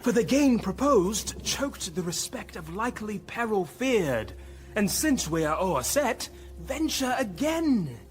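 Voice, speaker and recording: An actor playing a courtly, loyal rebel lord in a Shakespearean radio drama, with formal verse delivery. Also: male voice, high voice, big pitch swings, slow pace, soft background music, heavily acted. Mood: rallying.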